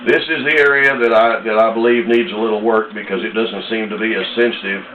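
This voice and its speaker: An American man giving a casual, unhurried product demonstration in plain words, pausing as he explains. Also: fairly low pitch, steady tone. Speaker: radio seller demonstrating a set. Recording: echoey, dull lo-fi audio with faint noise.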